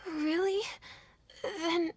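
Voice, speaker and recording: A woman's voice: very high-pitched, fairly even intonation, extremely slow, darkish tone, soft background music, full character voice. An actress voicing a sick, frightened little village boy who is dizzy with fever and sounds weak and tearful. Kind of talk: video game voice acting.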